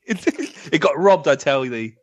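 A man's voice: on the high side, expressive, unhurried. A man chatting casually and jokily as a podcast co-host. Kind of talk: casual chat. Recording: slightly dull, lo-fi microphone sound.

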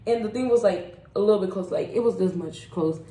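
A woman's voice: mid-range voice, brisk, warm tone. A young woman chatting casually as a storytime vlog co-host, retelling events in a giggly, slangy, repetitive way. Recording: echoey room, background noise.

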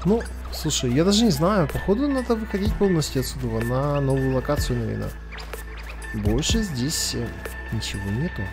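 A man's voice: mid-range voice, animated, moderate pace. A man doing casual game commentary, thinking aloud and reading on-screen text, with game music behind him. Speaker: gaming video host. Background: video game music.

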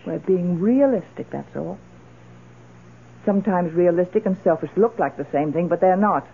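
An actress playing a self-assured, decisive, well-off middle-aged widow in a radio drama. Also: female voice, fairly low pitch, animated, normal speed, vocal fry, slightly rough, full character voice. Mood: confident, reassuring. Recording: muffled old radio broadcast recording.

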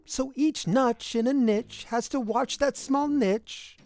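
A woman's voice: on the high side, slow, darkish tone, no echo. A woman reading a rhyming children's picture book aloud in a playful, theatrical, sing-song way. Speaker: storybook reader. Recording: music behind the voice. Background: music.

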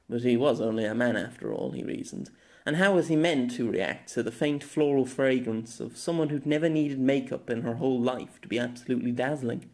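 A man's voice: mid-range voice, darkish tone. A man narrating a scripted novella as an audiobook. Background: music.

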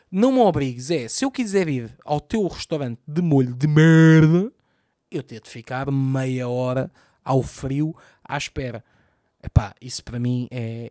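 A man in his thirties from Lisbon with a Lisbon Portuguese accent, hosting a solo podcast as a comedian, ranting profanely with big gestures into a close boom mic in a small room at home. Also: darkish tone, no room sound. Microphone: boom mic close to mouth, wearing headphones.

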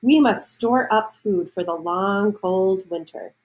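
A motherly storybook bear, read by a woman, advises her young cub. The delivery is slow and deliberate, with simple instruction at a medium pitch. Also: darkish tone, close to an everyday voice, low-quality recording. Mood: motherly advising. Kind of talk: children's storybook reading.

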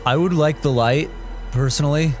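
A man's voice: a little high, moderate pace. A man speaking casually as a player in a tabletop game. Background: soft background music.

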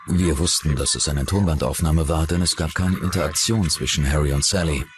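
A man's voice: very deep voice, a little fast, a little hiss or hum. An actor voicing a man who recounts a crime case as a witness in a documentary voice-over. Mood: recounting. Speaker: dubbing voice of an interviewee.

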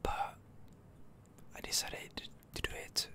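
A gamer whispering ASMR commentary over gameplay, close to the microphone, musing in an unhurried, hesitant way. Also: bright, forceful tone.

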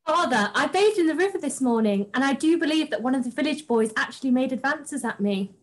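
A woman's voice: high voice, lively intonation, brisk. A young woman playing a coy but defiant princess in an amateur pantomime read-through, prim and declaiming. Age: young adult. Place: indoors at home, on a video call.

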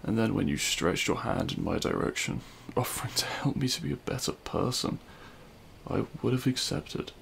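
A man playing a remorseful, self-loathing supervillain in an intimate audio roleplay, speaking in a halting, anguished way. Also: fairly low pitch, expressive, creaky, a bit bright, a little hiss or hum, heavily acted. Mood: wistful regret. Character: remorseful male supervillain confessing love at a grave.

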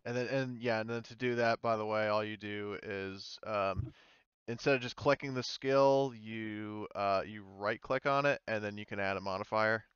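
Low, clear-voiced man running a game. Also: steady tone, a bit bright, dry recording, lo-fi sound. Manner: halting, informal. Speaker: game master. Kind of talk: improvised narration of a tabletop roleplaying game.

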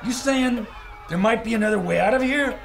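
A man's voice: very high-pitched, fairly quick, vocal fry, slight background noise. An actor playing a brash man of action in a pulp radio adventure, punchy, slangy and commanding, with a wisecracking streak. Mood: determined.

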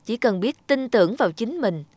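A woman voicing a humble, earnest former beggar man in a fairy-tale cartoon, speaking in a measured, polite way. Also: on the high side, animated, darkish tone, no room sound. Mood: earnest, grateful.

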